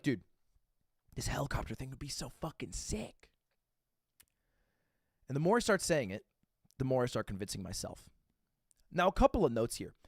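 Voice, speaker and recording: A man in his twenties, a streamer reacting to a video, telling a story with excitable, profane energy and acting out what others said. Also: sits high, no echo, clean studio sound. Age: 20s. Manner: excitable, profane, acts out quotes.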